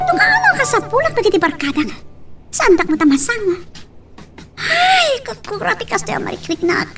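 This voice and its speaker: A woman's voice: very high voice, sing-song, normal speed, music in the background, heavily acted. A woman playing a proud, feisty young daughter of a rich man in an audio drama, with an Ilocano accent. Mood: exasperated. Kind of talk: amateur audio drama acting.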